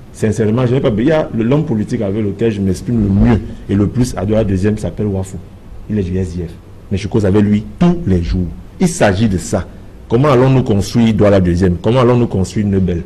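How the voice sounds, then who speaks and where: A man's voice: medium pitch, warm tone, husky, low-quality recording. A man with a Cameroonian French accent in a televised political debate, speaking emphatically and insistently and repeating phrases to drive his point home. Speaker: political party member as debate panelist.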